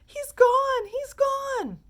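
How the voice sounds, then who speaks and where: A woman's voice: on the high side, a little fast, pushed and bright, pitched above the performer's own voice. A woman voicing a frantic mother who shrieks and wails. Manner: shrieking, wailing.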